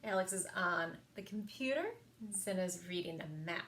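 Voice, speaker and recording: A woman reading picture books aloud for a children's story time, expressive and sing-song, with emphasized words. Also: on the low side, moderate pace, a little hiss or hum. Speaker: library story time reader.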